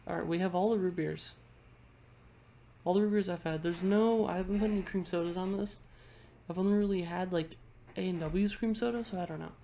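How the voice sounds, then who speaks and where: A woman's voice: fairly low pitch, dark tone, slight background noise. A congested woman vlogger chatting casually into her webcam at home, rambling and often restarting her sentences. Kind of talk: casual chat ranking things on a tier list.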